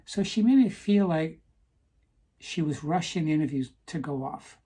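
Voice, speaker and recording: A woman talking earnestly to camera in a personal vlog, stopping to ask "okay?" for emphasis, with some halting restarts. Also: deep voice, lively intonation, dark tone, slightly rough. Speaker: vlogger.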